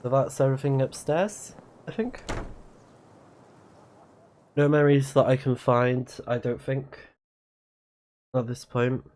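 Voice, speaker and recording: A man's voice: mid-range voice, steady tone, takes it slowly, pauses often, darkish tone. A man giving casual live let's play commentary, musing aloud and reacting as the game goes. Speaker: game streamer.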